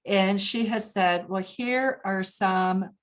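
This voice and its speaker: A woman from Japan speaking as an expert guest, explaining points deliberately and emphatically, posing questions and answering them. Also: medium pitch. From Japan, grew up there. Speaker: interview guest, business expert. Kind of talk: explanatory interview talk.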